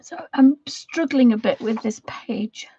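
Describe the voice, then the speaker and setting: Woman host, croaky and husky, mellow tone, soft rambling chat, dull lo-fi stream sound. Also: fairly high pitch, measured pace, no room sound. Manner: soft, rambling, often half-whispered. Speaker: livestream host. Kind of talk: casual chat while colouring.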